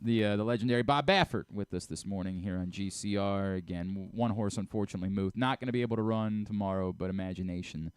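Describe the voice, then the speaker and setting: A man's voice: lowish voice, fast talker, few pauses, smooth. A man with an American accent hosting a conversational sports radio interview.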